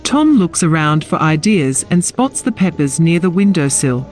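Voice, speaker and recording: A woman's voice: deep voice, big pitch swings, normal speed, darkish tone. A woman reading scripted story narration for an audio drama. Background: music.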